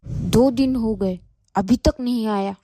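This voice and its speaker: A woman's voice: a little high, slow, mellow, no room sound, clean studio sound. A woman voicing a greedy, insistent neighbour wife in a Hindi cartoon moral story. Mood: demanding, annoyed. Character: greedy, demanding neighbour wife, later remorseful.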